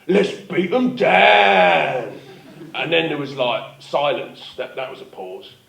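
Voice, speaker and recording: A man's voice: on the high side, very animated, a bit bright, full character voice. A man playing a vain, dim action-film star boastfully in a live comic radio parody. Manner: boastful, dramatic pauses. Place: theatre stage before live recording. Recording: echoey live stage, distant mic, faint noise.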